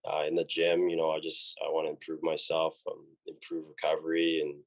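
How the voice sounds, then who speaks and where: A man's voice: extremely low pitch, completely flat delivery, fairly quick. A young man with a Canadian accent, a college hockey player answering interview questions in a laid-back way, full of fillers and restarts. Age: young adult.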